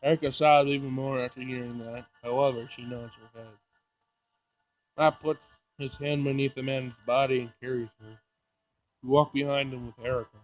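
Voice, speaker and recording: A man playing through a visual novel, reading it aloud in an emotional, halting way with dramatic outbursts. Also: medium pitch, fairly even intonation, low-quality recording. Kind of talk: let's play narration with loud reactions.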